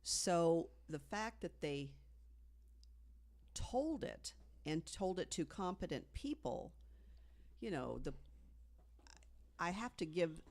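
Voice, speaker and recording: A podcast guest in relaxed discussion, with a voice of medium pitch. The delivery is that of an earnest storyteller making a case point by point. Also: slow, pauses often.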